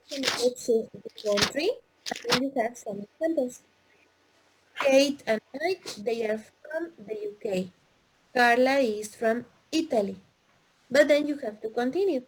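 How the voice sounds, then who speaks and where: A woman teaching an English listening lesson, speaking slowly and repeating answers with emphasis. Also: sits high, noisy background. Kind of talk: classroom lesson.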